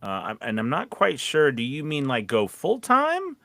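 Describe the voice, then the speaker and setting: A man's voice: a little high, wildly swooping pitch, normal speed, gravelly, bright, forceful tone, dry recording. A man chatting casually on a podcast as a teacher, rambling and often restarting his thoughts. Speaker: voiceover teacher.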